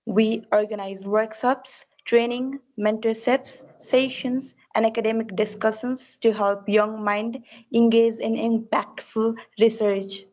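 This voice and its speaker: A woman's voice: fairly high pitch, steady tone, slow pace, few pauses, darkish tone, highs cut off. A woman hosting an online talk who reads a formal, scripted introduction of the speaker. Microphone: computer mic on a video call.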